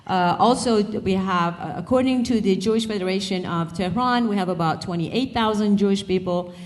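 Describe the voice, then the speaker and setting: A middle-aged woman from Iran speaks at a college peace conference, pausing often on filler sounds. She keeps a friendly, joking tone with the audience. Her voice comes through a handheld microphone in a hall. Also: medium pitch. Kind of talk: public talk with slides.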